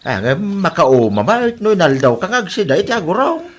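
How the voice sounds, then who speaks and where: An actor with an Ilocano accent playing a grumbling, gossipy older uncle in a radio comedy drama. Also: male voice, high-pitched, sing-song, a little fast.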